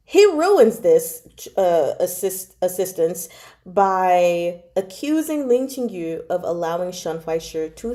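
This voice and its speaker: A woman chatting casually as she retells a story, in an animated, giggly, slangy way that often wanders off track. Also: mid-range voice. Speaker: book club vlogger. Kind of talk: casual chat retelling a novel.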